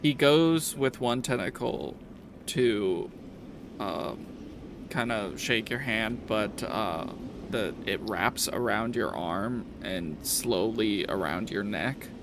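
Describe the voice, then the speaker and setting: A man improvising narration as game master of a tabletop game, halting with pauses mid-sentence. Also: on the low side, expressive, background noise.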